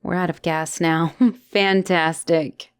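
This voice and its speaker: A young woman acts out a lovestruck, obsessive girlfriend in an intimate audio drama. She speaks at an unhurried pace, clingy and teasing, with breathy sighs and drops into softness. The sound is close and dry. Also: lowish voice, fairly even intonation, no room sound. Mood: resigned, gentle. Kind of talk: intimate one-sided audio roleplay drama.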